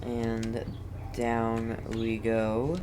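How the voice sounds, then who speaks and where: Very low-voiced, unhurried woman gamer. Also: monotone, background noise. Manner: thinking aloud, repeating words. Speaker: gaming video host. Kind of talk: casual playthrough commentary.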